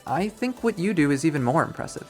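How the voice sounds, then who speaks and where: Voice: medium pitch, very animated, quick pace, darkish tone, faint music behind, pitched above the performer's own voice, lightly acted. A performer voicing a casual, eager, slightly awkward young visual novel protagonist with a questioning manner. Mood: friendly, curious.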